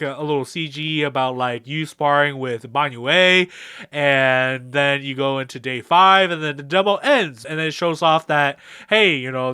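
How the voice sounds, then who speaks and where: A young man giving casual, rambling fan commentary over gameplay in a slangy, excitable way, acting out characters' lines as he goes. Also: on the high side, clean tone, no room sound, clean studio sound. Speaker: video creator.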